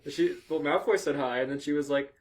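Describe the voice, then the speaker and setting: A man's voice: a little high, fairly quick. A man hosting a comedy podcast, reading fan fiction aloud and breaking off for joking, casual asides.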